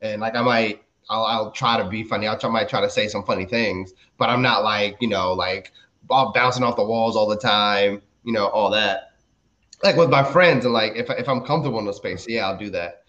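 A man in his thirties from the DC area talks in a low, relaxed voice, rambling with lots of fillers and restarts. He is a guest comedian in casual podcast chat. The recording sounds dull and lo-fi. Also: moderate pace. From DMV area, US. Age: 30s. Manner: laid-back, rambling, many fillers and restarts.

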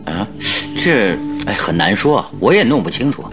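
An actor playing a clever, self-satisfied detective in a Mandarin radio drama, explaining things with assured, theatrical emphasis. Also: male voice, fairly low pitch, very animated, normal speed, music in the background. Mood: puzzled.

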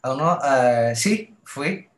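A man from Puerto Rico with a Puerto Rican accent, a guest in a casual chat who talks hesitantly and pauses to find his words. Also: mid-range voice, lively intonation. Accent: Puerto Rican Spanish. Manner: hesitant, many fillers and restarts. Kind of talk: casual conversation. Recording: roomy echo.

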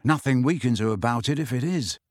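A man voicing an eager, excitable teenage schoolboy with a slightly whiny edge. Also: medium pitch, a little fast, dry recording, clean studio sound, natural voice.

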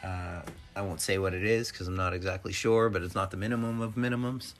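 A man's voice: low voice, steady tone, a little fast. A man hosting a podcast alone in a casual, rambling, off-the-cuff way. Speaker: podcast host. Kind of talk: casual solo podcast monologue. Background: instrumental hip-hop backing music.

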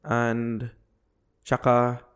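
A man co-hosting a podcast in casual, joking chat, often halting as he searches for his words. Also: medium pitch, monotone, smooth. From half Filipino. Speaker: podcast co-host, comedian.

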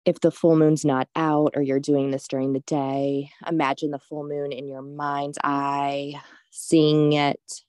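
A woman leading a guided meditation in a soothing, unhurried, gently instructive way. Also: deep voice, flat delivery, no room sound, studio quality.

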